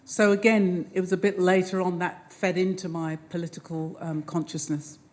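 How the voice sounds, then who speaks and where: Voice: medium pitch, little pitch movement, normal speed. A panelist reflecting thoughtfully on an upbringing. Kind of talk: panel discussion storytelling.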